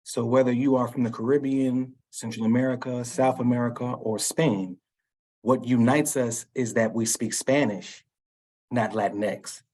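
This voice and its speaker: A man's voice: mid-range voice, fairly even intonation, unhurried, darkish tone. A man helping host, reading an audience comment aloud.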